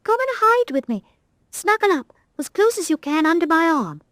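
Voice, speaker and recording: A woman voicing a bold, cheerful, motherly rag doll in a high, bright voice. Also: very animated, moderate pace, no echo, clean studio sound.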